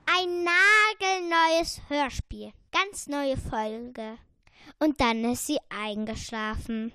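A woman's voice: sits high, slow pace, bright, forceful tone, dry recording, clean studio sound, full character voice. A woman playing a chatty, eager lost earworm in a children's radio play, explaining things at hesitant length.

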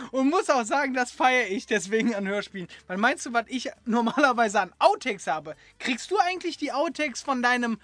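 A man's voice: very high-pitched, animated, normal speed, fairly bright tone, no room sound. A man hosting a casual podcast, chatting in a playful, rambling way. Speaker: podcast host.

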